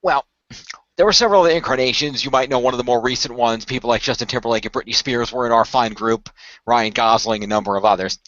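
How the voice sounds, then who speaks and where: A man's voice: medium pitch, fast talker, a bit bright, dry recording, muffled recording, natural voice. Man playing a polite young studio employee, hesitant explainer. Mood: informative, chatty.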